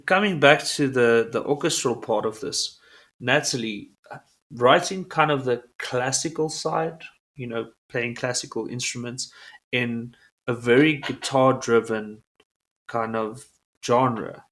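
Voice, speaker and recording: A man, an Afrikaans speaker, hosting a casual interview, animated and swearing freely. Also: medium pitch, slow pace. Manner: animated, swears, acts out quotes. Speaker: podcast host.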